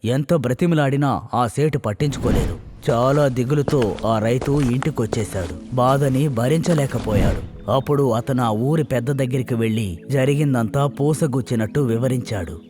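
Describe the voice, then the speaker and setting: A man's voice: mid-range voice, normal speed, over music. A man reading scripted narration as the storyteller of a moral tale.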